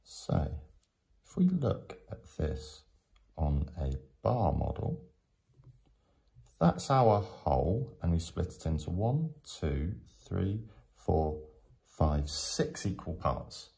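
A man teaching in a step-by-step tutorial, explaining patiently with frequent pauses. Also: low-pitched, big pitch swings, vocal fry, dark tone. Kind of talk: step-by-step maths tutorial narration.

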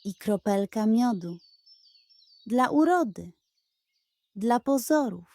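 Unhurried, mellow woman storyteller. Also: on the high side, lively intonation, no room sound. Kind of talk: scripted narration of a children's bedtime fairy tale.

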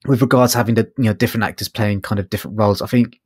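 A man co-hosting a podcast, chatting casually in a tentative, halting, loosely phrased way. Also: on the low side, fairly even intonation, slightly rough, dry recording, studio quality. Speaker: podcast co-host reviewing audiobooks. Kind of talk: casual chat, book review discussion.

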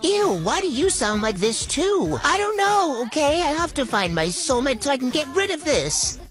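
A woman's voice: sits high, big pitch swings, normal speed, soft background music. A woman playing a dramatic, flustered teenage girl in a scripted comedy skit. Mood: defensive.

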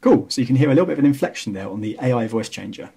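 A man with a husky voice narrating a software tutorial in a casual, explanatory way. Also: medium pitch, animated, very fast. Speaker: tutorial host.

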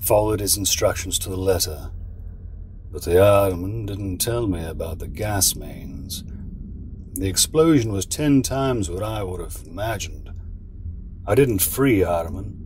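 An actor playing a guilt-ridden, self-excusing arsonist in an audio drama monologue, brooding and halting. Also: male voice, low-pitched, noisy background.

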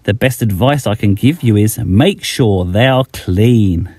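A man's voice: fairly low pitch, measured pace, a little mellow, dry recording. A middle-aged man reading a rhyming bedtime story aloud, expressively, as a children's author addressing young listeners.

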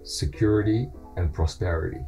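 A middle-aged man playing an earnest hometown journalist in a scripted podcast, telling local history in a measured way with deliberate pauses. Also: low voice, gravelly, mellow, soft background music, poor audio. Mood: earnest, storytelling. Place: small indoor podcast studio. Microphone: close studio microphone on a stand.

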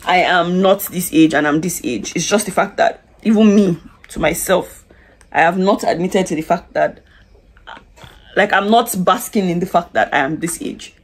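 A woman's voice: on the low side, moderate pace, husky. A woman, chatting confidingly to the camera while doing her makeup in a small room. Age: 20s. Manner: chatty, confiding, lots of restarts and "like". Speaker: beauty vlogger. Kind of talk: casual get-ready-with-me chat. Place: small indoor room with curtains. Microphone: camera in front at close range.

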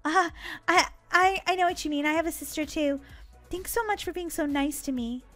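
A woman's voice: extremely high pitch, moderate pace, fairly bright tone, faint music behind. A woman voicing a polite, sweet, earnest young female shop boss in a video game roleplay. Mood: shy, grateful.

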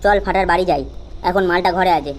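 A woman's voice: lowish voice, quick pace, a little hiss or hum, natural voice. A woman voicing a cocky, foul-mouthed young village tough in an amateur comedy cartoon, brash and bossy.

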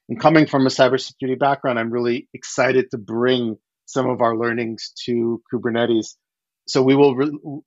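A man's voice: medium pitch, no room sound. Man on a dull, dry headset call. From Ukraine. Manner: animated, gestures while explaining, frequent restarts. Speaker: startup founder, guest. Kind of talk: casual video-call interview. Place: home living room. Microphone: headset on video call.

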